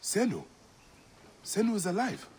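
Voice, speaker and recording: Vengeful egyptian warrior for a game, terse, slightly high voice, lots of reverb and noise. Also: male voice, big pitch swings, measured pace. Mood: delighted. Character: driven, vengeful adult male warrior of ancient Egypt. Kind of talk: video game cutscene acting.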